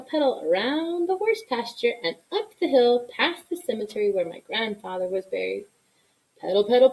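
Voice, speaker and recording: A woman reading a children's story aloud in an expressive style, with deliberate, dramatic emphasis. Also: on the high side, rough recording. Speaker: storybook reader.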